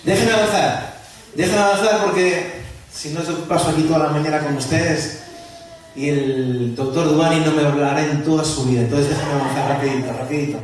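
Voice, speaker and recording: A man preaching as a pastor, lively, asking the congregation questions and joking with them. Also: a little high, fairly quick, slight background noise. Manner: lively, asks the audience questions and jokes. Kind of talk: sermon to a church gathering. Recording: echoey hall from far off.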